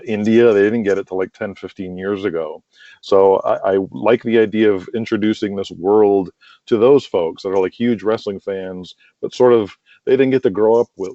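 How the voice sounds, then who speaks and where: A man's voice: low voice, dark tone, no echo, lo-fi sound. A man chatting casually and enthusiastically as an interview guest. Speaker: podcast guest, wrestling promoter and radio drama creator.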